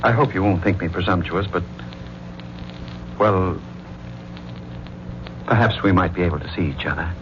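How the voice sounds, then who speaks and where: An actor playing a guilt-ridden, middle-aged married man with an American accent, speaking in a measured, confiding 1940s radio style. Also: sits low, noisy background, close to an everyday voice. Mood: hesitant, tender. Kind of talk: 1940s radio mystery acting, first-person narration and dialogue. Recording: muffled vintage radio broadcast.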